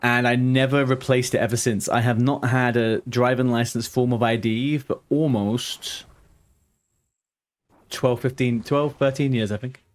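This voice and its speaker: Chatty man with a softened London accent. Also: medium pitch, steady tone, unhurried. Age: 30s. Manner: chatty and rambling, answers viewers' chat aloud. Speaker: game streamer. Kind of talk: casual live-stream chat.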